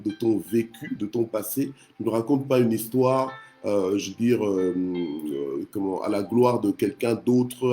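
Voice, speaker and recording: Mellow-voiced male preacher from Congo. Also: fairly low pitch. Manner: punctuates speech with short exclamations of praise. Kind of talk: devotional sermon on scripture.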